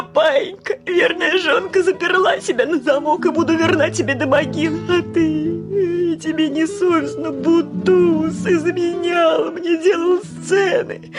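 A high, plaintive female voice as a young genteel widow in a classic radio drama. She is affectedly refined and sighs with theatrical sorrow, with faint music behind her. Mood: tearful reproach. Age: young adult. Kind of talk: classic radio play acting.

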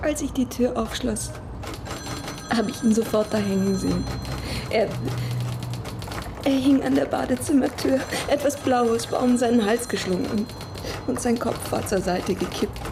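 Distressed, defiant higher-voiced woman played by an actress. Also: moderate pace, long pauses, background noise, close to an everyday voice. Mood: shaken, confessing. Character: distressed, defiant daughter confessing to police. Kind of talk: German radio crime drama acting.

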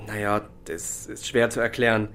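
A man acting an evasive young suspect in a German radio crime drama, deferential, halting and guarded as he answers. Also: lowish voice, level tone, a bit bright, natural voice. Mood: uneasy explaining.

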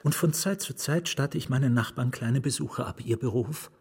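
A breathy, secretive asylum patient. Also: male voice, medium pitch, moderate pace. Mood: sly, wry. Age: middle-aged. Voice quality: breathy, often hushed. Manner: conspiratorial, probing, wry. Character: secretive, wry male asylum patient, a bitter novelist. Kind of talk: German radio drama acting.

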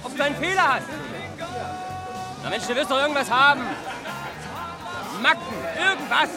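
An actor playing a pushy, provocative oddball in a radio play, needling a stranger with questions. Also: male voice, extremely high pitch, lively intonation, moderate pace, over music, crowd noise.

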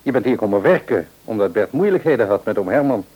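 A man playing a kindly, practical older father in a radio play, husky and reassuring. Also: on the low side, expressive, quick pace, a little mellow, poor audio. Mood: reassuring, reasonable. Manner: avuncular, musing, reassuring.

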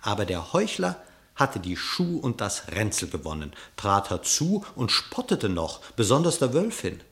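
A male narrator reads a classic verse fable for a radio play. He tells it with dramatic, storytelling expression, and his pitch rises and falls widely. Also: wildly swooping pitch, measured pace, fairly bright tone.

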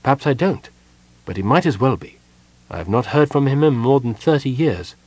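Male reader doing a stern sorceress, measured and wary, a husky edge. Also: medium pitch, lively intonation, darkish tone, dry recording, pitched above the performer's own voice, natural voice, poor audio. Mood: cool.

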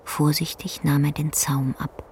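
An actress narrating a literary radio play in a measured, solemn way. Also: female voice, low-pitched, level tone, a little mellow, breathy edge, heavily acted. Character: solemn storyteller of an old man and horse.

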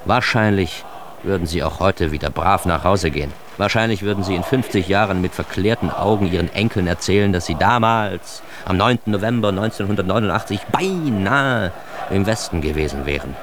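Low-voiced, ironic East Berlin antihero. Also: male voice, fairly quick, noisy background. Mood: mock-solemn. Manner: ironic, mock-grand, addressing the listener. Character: cocky, self-mocking East Berlin man confessing his absurd tale. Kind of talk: first-person radio drama monologue.

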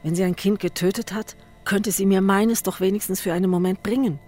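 A woman's voice: medium pitch, fairly even intonation, a little fast, mellow, faint music behind, natural voice. An actress in a German radio drama playing a bereaved young mother, insistent and bitter, speaking in terse, intense phrases. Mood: pleading, bitter. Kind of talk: German radio drama, interior monologue and dialogue.

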